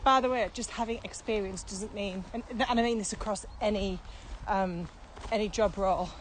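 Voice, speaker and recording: A woman's voice: mid-range voice, steady tone, normal speed, rough recording. A middle-aged woman explains things in a casual, conversational podcast style. She is emphatic and works through each point step by step. She is recorded outdoors on a walk, with faint ambient noise behind her. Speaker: podcast co-host and experienced trainer. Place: outdoors, walking. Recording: outdoor walking recording, clear background noise.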